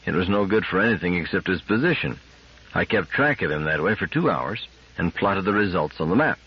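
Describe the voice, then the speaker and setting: A man's voice: low voice, expressive, a little fast, husky, muffled recording, full character voice. Man playing an agitated, bitter space engineer. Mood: worried. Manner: exasperated, emphatic. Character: agitated, bitter red-haired male field engineer.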